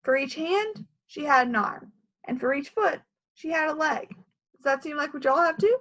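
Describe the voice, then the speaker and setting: A woman's voice: high-pitched, normal speed, a bit bright, poor audio. A woman reading a children's story aloud like a teacher, with questions to the listeners.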